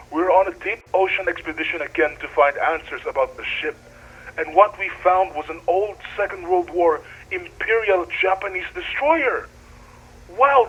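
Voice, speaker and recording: A man playing an earnest, wonderstruck deep-sea researcher speaking slightly formally into a recorded log for an analog horror drama. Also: fairly bright tone, slightly rough, dull audio. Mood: amazed, excited. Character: excitable male deep-sea researcher keeping an audio log.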